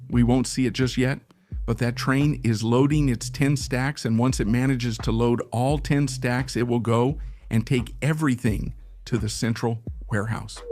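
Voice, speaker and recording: A man, hoarse from being sick, giving casual gameplay commentary and thinking aloud as he plans and second-guesses his build. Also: medium pitch, a little mellow. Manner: thinks aloud, unsure and musing. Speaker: gaming channel host.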